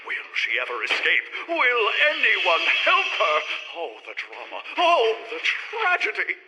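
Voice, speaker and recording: A man playing an excitable, pompous theme park boss in a cheesy radio drama, with florid, theatrical delivery. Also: high-pitched, measured pace, big-room sound, heavy background noise, heavily acted. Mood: melodramatic.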